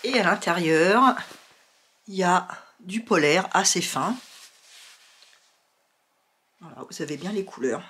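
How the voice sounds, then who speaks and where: A woman's voice: fairly low pitch, lively intonation, slow, pauses often, a bit bright, echoey room, slight background noise. A woman with a cold chatting casually to her viewers about sewing and knitting, hesitant and full of fillers. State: has a cold, sniffling. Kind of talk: casual chat to camera.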